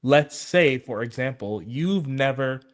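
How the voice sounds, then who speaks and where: A man's voice: mid-range voice, lively intonation, slow. A man explaining things in a conversational talk show style, emphatic and illustrating his points with acted-out examples.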